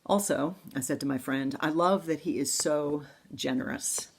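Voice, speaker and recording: Low, gravelly-voiced woman preaching. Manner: slow, reflective, intimate reading voice. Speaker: pastor. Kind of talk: sermon with poetry readings. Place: church service, streamed online.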